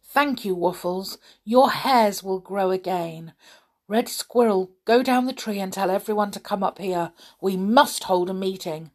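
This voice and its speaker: A woman voicing a wise, kindly male tree-dweller in a storybook reading, speaking calmly and gently firm. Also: mid-range voice, a little slow, close to an everyday voice.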